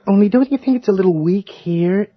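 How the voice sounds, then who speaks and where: A man's voice: sits high, a little fast, no echo, dull audio. An actor playing an irritable husband and struggling novelist, exasperated and stammering as he grows rattled, in a radio horror drama. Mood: needling, self-satisfied.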